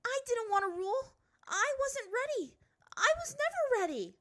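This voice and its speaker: A woman voicing an emotional, defensive teenage runaway princess in a high, quavering voice, in a storybook reading. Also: sing-song, normal speed. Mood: defiant, upset.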